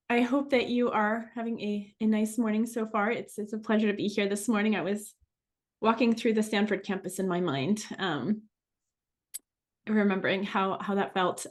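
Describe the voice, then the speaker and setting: A middle-aged woman who grew up in France and speaks French natively gives an informal talk. Her pitch sits in the middle, and she speaks in a personal, reflective way, as a researcher sharing her experience with listeners.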